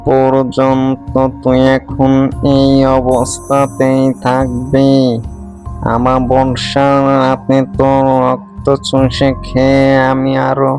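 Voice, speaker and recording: A man's voice: medium pitch, completely flat delivery, slow pace, darkish tone, faint music behind, natural voice. A man voicing a wicked, scheming shapeshifting witch in a horror cartoon, with a menacing, gloating delivery. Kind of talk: Bengali horror cartoon voice acting.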